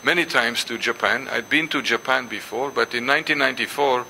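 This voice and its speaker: An older man whose first language is Dutch gives a symposium lecture, pausing often with ums and restarts and reading passages aloud. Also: mid-range voice, pushed and bright, a little hiss or hum, low-quality recording. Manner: hesitant, many ums and restarts. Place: lecture hall.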